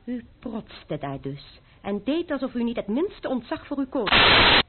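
A woman's voice: on the high side, very animated, unhurried, heavy background noise. An actress playing a proud, defiant young French noblewoman in a Dutch radio play, haughty and scornful in manner. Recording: narrow-band, muffled old radio recording.

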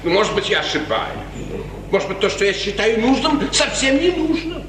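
An actor playing a smooth, scheming, cynical courtier with a glib, insinuating, theatrical manner in 1950s radio tragedy. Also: male voice, high voice, very animated, normal speed. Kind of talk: 1950s classical stage tragedy radio acting. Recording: noisy 1950s radio recording, echoing hall.

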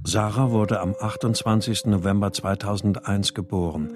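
An actor playing a brooding, grieving writer who narrates a radio drama in a subdued, introspective manner. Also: male voice, fairly low pitch, steady tone, moderate pace, close to an everyday voice. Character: brooding married male writer, grieving missing daughter. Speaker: narrator and lead character. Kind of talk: first-person radio drama narration and dialogue.

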